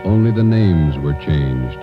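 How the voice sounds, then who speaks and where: A deep-voiced man makes scripted old-time radio announcements with broad, animated intonation. Loud music plays behind him on the muffled broadcast. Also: slow. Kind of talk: solemn scripted 1940s-50s radio announcing.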